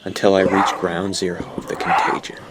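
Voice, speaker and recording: A man's voice: deep voice, completely flat delivery, brisk, very noisy, close to an everyday voice. A man playing a bitter, guilt-ridden young man who narrates a horror radio drama in a brooding, self-loathing way. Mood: grim, resigned.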